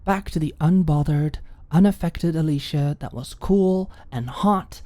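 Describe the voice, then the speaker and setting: A woman's voice: deep voice, a little slow, dark tone, dry recording. A woman reading scripted story narration for an ASMR audiobook, recorded close to the mic.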